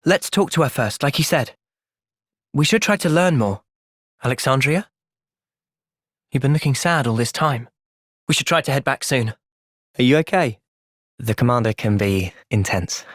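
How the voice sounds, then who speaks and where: An earnest young British soldier from a video game cutscene. He speaks at a middle pitch in a sincere, measured way, gentle yet resolute, and is recorded very dry and close. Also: expressive, no echo. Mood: calm, earnest.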